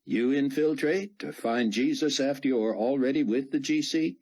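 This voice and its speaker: A man's voice: mid-range voice, moderate pace, darkish tone, voice pushed up, close to an everyday voice. A man voicing a brash, joking male smuggler pilot with a folksy Southern US drawl. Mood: bantering.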